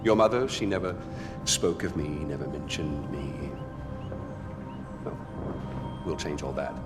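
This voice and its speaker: A man's voice: rumbling low, unhurried, loud music behind the voice. An actor playing a genteel but volatile middle-aged male tyrant in a video game, theatrical and mock-courteous, given to pedantry and sudden swearing. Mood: charming, theatrical. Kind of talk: theatrical game cutscene monologue.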